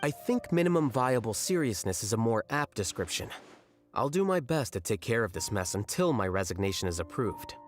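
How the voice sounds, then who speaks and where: An actor playing an aloof, blunt young male scholar in a video game, speaking in a dry, measured, deadpan manner. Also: medium pitch, faint music behind, close to an everyday voice. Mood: calmly matter-of-fact.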